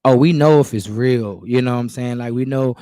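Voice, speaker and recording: A man hosting a casual interview, talking in relaxed slang with lots of fillers and asides. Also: medium pitch, brisk, no room sound, clean studio sound. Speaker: podcast host.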